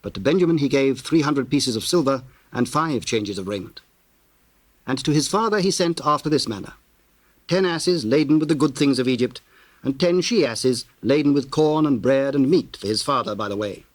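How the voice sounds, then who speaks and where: A man narrating dramatized scripture in a measured, solemn and formal manner. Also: mid-range voice, animated, no room sound.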